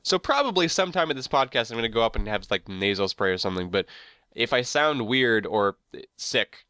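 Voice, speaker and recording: Congested nasal podcast guy, bright. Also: medium pitch, big pitch swings, fairly quick, clean tone, dry recording, clean studio sound. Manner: chatty, complaining, rambling. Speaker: podcast co-host. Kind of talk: casual chat. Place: hot home room.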